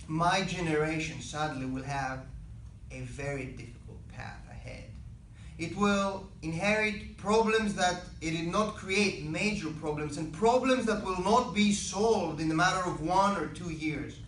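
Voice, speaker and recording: A young man from Greece, giving a prepared public speech to classmates in an earnest, measured way with emphatic key phrases. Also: high voice, fairly bright tone. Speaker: student speaker. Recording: echoey room, speaker far from mic, faint background noise.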